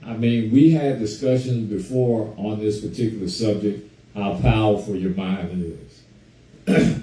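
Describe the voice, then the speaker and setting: A man hosting a casual talk show on a group call, hoarse from a cold, chatting easily and greeting listeners by name. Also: fairly low pitch, steady tone, a little slow, mellow, roomy sound, far from the mic, poor audio. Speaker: host.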